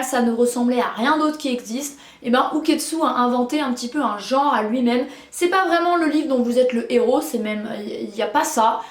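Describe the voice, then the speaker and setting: A woman's voice: sits high, echoey room. A French-speaking woman reviewing books in casual, chatty talk, full of fillers and restarts. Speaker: book reviewer. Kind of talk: casual book review chat.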